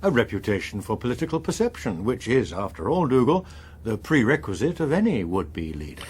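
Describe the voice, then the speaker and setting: A scheming senior politician in a political drama. He is polished and unflappable, a man who persuades with condescension. The voice is husky and very varied in melody. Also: mid-range voice, very animated, fairly quick, darkish tone. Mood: conspiratorial, assured. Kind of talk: British political drama acting.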